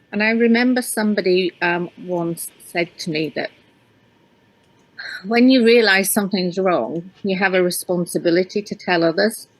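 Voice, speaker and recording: Soft-toned hesitant Yorkshire-raised woman. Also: fairly low pitch, rough recording. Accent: hybrid northern English. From Yorkshire, England. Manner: hesitant, many ums and restarts. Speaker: author and researcher. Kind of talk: interview guest answering questions.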